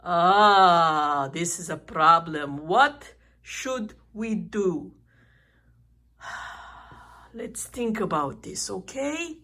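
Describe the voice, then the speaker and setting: A woman voicing a kindly, excitable uncle puppet in a children's show, exclaiming and teaching slowly. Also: lowish voice, full character voice. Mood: thoughtful. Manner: exclaiming, slow, instructive.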